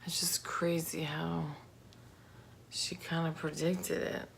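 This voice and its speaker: A woman telling a true crime story in a casual, informal, slangy and halting way. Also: deep voice, little pitch movement. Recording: clear background noise.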